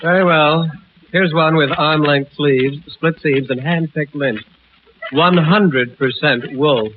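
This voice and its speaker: A man's voice: a little high, slow pace. A man playing a snobbish, sarcastic salesman in a 1940s radio comedy sketch. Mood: haughty, dry. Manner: haughty, sarcastic, dismissive. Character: snobbish, disdainful male suit salesman in elegant shop. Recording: muffled phone-like old radio recording, hissy.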